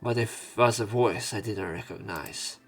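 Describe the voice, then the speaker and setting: Low-voiced man narrating a visual novel. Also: measured pace, breathy edge. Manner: sweary reactions, expressive dialogue reading. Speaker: let's player. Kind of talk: reading visual novel aloud with casual commentary. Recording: game music behind voice. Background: game music.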